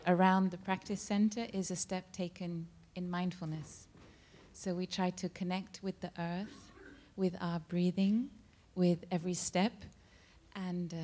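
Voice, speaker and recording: A man, a monk, calmly answering audience questions at a cinema Q&A, unhurried and with frequent pauses and fillers. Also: high voice. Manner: calm, unhurried, with many ums and uhs. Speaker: monk at a practice center. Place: cinema stage at a Q&A. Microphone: handheld mic.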